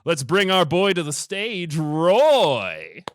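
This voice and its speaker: Male podcast host, booming and playful; animated mock-announcer reads, close dry sound. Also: slow, clear, resonant tone, no echo. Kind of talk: casual chat and comic introductions.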